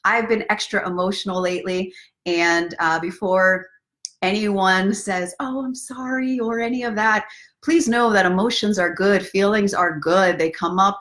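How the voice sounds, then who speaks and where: A woman's voice: medium pitch, fairly bright tone. A woman hosting a casual live story time, speaking directly to viewers in an encouraging, playful way as a self-love coach. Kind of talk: casual live-stream chat with guided breathing and book reading.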